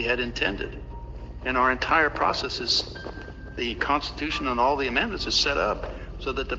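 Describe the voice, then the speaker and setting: A man giving political commentary in a halting way, repeating phrases for emphasis. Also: mid-range voice, noisy background. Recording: dull, reverberant clip with music.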